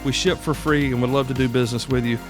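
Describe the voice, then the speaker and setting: A man's voice: mid-range voice, fairly even intonation, quick pace, music in the background. An American man hosting a podcast solo, talking casually in a folksy, conversational way straight to his listeners. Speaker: podcast host.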